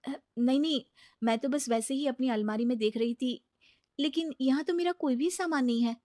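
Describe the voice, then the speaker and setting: Moral-story young wife, played by a woman: high-voiced, polite, earnest and dutiful, slightly pleading. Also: normal speed, a little mellow, no room sound, studio quality, natural voice. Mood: hurt, pleading. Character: Mansi, earnest dutiful young daughter-in-law. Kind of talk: animated Hindi moral story dialogue.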